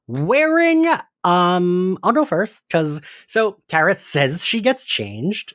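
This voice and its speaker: Lively male podcast host, dry sound. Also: on the high side, dry recording. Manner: informal, thinking aloud. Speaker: podcast host leading the discussion. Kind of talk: casual fan discussion of an audio drama.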